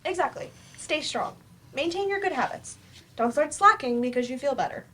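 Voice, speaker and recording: Woman acting a high-voiced, supportive therapist. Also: expressive, normal speed, sharp tone. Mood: advising, caring. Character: measured, supportive female doctor, a therapist. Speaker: actor in a staged play reading. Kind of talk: stage play reading. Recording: noisy room at live staged reading.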